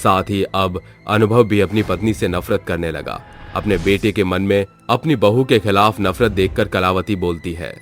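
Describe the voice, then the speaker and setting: A man's voice: sits low, normal speed, soft background music. A man narrating a scripted moral story as its storyteller.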